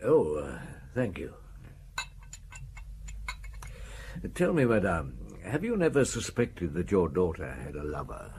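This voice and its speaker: Radio drama detective: low, expressive voice, wry and probing, faint noise behind. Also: male voice, normal speed, full character voice. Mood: courteous, probing. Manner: courteous, hesitant, ruminative. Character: unhurried, probing French police inspector, courteous and wry. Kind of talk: audio drama acting, questioning and narrating.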